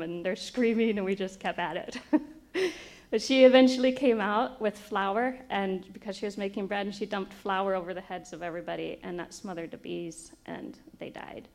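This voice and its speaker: Brief: middle-aged woman raised in Brazil, missionary speaker, gravelly tone, chuckling storyteller. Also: mid-range voice. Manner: animated anecdote, chuckling as she tells it. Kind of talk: storytelling to a church group.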